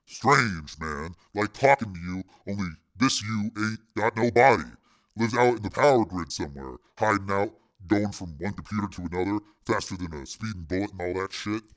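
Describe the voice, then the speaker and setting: Man doing deep, cool street tough. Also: normal speed, fairly bright tone, close to an everyday voice. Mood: matter-of-fact. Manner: slangy, laconic, cool.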